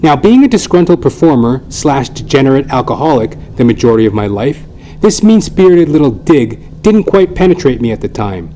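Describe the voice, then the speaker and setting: A man's voice: mid-range voice, big pitch swings, fairly quick, mellow, slight background noise, rough recording. A man narrating a scripted comic story in a theatrical, mock-grandiose, wry manner. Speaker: comedy podcast host, storyteller. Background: music.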